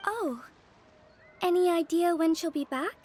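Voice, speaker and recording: An actress voicing a tiny, chirpy, food-loving fairy companion in a video game, squeaky and childlike. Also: female voice, a little slow, a little mellow, slight background noise. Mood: chirpy, curious. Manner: squeaky, childlike, speaks of self in third person. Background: background music.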